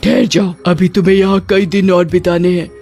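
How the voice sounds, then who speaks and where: A woman's voice: lowish voice, flat delivery, brisk, mellow, soft background music, lightly acted. A woman voicing a stern witch gatekeeper in a storybook tale, curt and commanding. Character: stern female witch guarding the gate.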